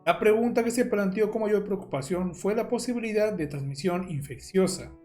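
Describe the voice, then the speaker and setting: A man's voice: sits high, moderate pace, darkish tone. A man reading scripted, documentary-style horror narration as the narrator. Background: music.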